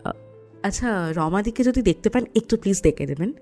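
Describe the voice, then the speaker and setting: A woman's voice: mid-range voice, animated, normal speed, darkish tone, faint music behind, close to an everyday voice. A woman playing a busy clinic doctor in an audio drama, matter-of-fact and instructing, reassuring yet a little stern. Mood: polite, businesslike. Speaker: voice actor. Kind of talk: audio story dramatic acting.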